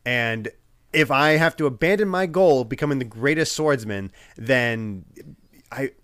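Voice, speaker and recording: Lively congested podcast host guy. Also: medium pitch, a little slow, clean tone, no echo, clean studio sound. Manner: laughing, animated, acts out quotes. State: congested from a head cold. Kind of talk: casual podcast chat.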